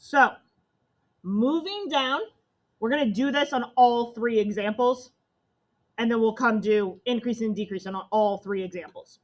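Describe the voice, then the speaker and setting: A young woman with a loud voice, teaching a math class step by step. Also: a little high, a little slow. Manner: step-by-step explaining to students.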